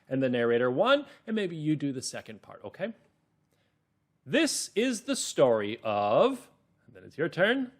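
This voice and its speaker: A man hosting a children's reading lesson as a teacher, explaining and narrating encouragingly, with drawn-out emphasis. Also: mid-range voice. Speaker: teacher and host.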